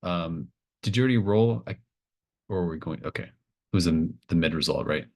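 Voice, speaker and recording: A man narrating a tabletop horror game as game master, improvising in casual, halting phrases. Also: sits low, a little mellow.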